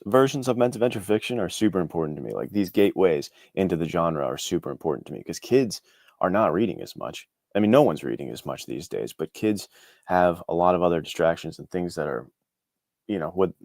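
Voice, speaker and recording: Very deep-voiced man, earnest and casual. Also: darkish tone, dry recording, studio quality. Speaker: interview guest, indie author. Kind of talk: casual chat, reflective answers.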